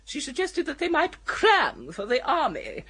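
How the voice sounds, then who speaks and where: A woman's voice: sits high, huge pitch swings, measured pace, fairly bright tone, full character voice. A woman playing a stern, domineering middle-aged aunt with an upper-class English accent in a radio comedy drama, imperious and scolding. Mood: firm.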